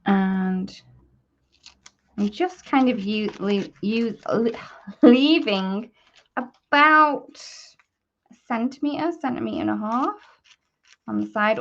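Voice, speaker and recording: A woman's voice: medium pitch, lo-fi sound. A woman hosting a live craft tutorial, chatting informally to viewers and thinking aloud as she works. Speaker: host of a live papercrafting demonstration.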